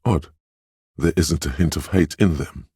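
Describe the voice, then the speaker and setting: An actor voicing a game's inner voice of empathy, a grave, compassionate narrator with a husky, gravelly voice and a measured, solemn, intimate delivery. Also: male voice, rumbling low, background noise, exaggerated voice. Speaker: game narrator. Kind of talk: scripted second-person game narration.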